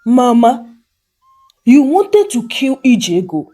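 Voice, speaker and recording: A woman's voice: on the high side, sing-song, slow pace, mellow, soft background music, heavily acted. A woman voicing a grown son in a folktale, earnest and defiant, speaking in a firm, emphatic way. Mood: accusing.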